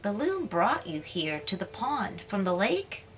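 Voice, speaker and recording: A woman's voice: mid-range voice, big pitch swings, a little slow, faint music behind. A woman voicing a curious, polite little girl who speaks eagerly.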